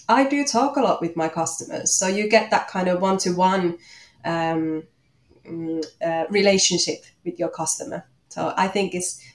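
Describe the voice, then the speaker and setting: Echoey, low-voiced Finnish woman, halting. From Finland. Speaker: interview guest, watchmaker and small business owner. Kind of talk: unscripted interview answers. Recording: echoey room.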